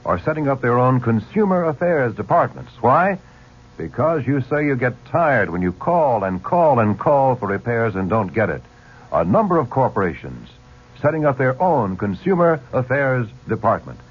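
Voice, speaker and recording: A man's voice: mid-range voice, big pitch swings, normal speed, breathy edge. A man reading a news promo as a radio host, in a punchy, emphatic style. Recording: muffled 1970s radio broadcast.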